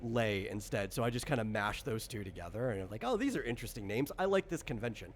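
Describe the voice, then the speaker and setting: A man's voice: on the low side, expressive, quick pace. A man hosting a casual tabletop character creation chat as game designer, explaining things in a rambling, self-correcting way. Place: online voice call recorded on computer. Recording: clear background noise on voice chat.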